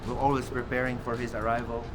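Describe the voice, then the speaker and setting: A man's voice: medium pitch, flat delivery, quick pace. A man from the Cordillera in the Philippines, chatting playfully and teasing with a laugh. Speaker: podcaster. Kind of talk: friendly casual chat. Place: small home studio. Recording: echoey room, loud background noise.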